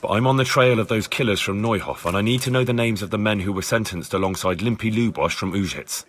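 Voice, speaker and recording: A man's voice: fairly low pitch, fast talker, natural voice. A man acting a plain-spoken young investigator in a video game, dogged and a little wry. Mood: insistent.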